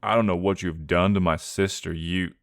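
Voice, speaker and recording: A man's voice: low voice, fairly even intonation, a little slow, creaky, dry recording. Man as a dry, stubborn young man. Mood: obstinate. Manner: dry, clipped.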